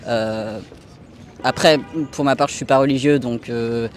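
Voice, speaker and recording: A young man answering a street interviewer in a calm, matter-of-fact way. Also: mid-range voice, measured pace, faint music behind. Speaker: passer-by interviewee. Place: busy city square outdoors. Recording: street traffic noise.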